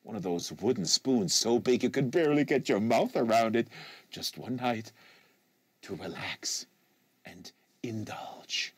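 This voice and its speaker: A man's voice: on the low side, lively intonation, unhurried, breathy edge, dry recording. A man voicing a crude, lustful teenage boy in an audiobook, with a yearning, slangy manner. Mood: dreamy longing.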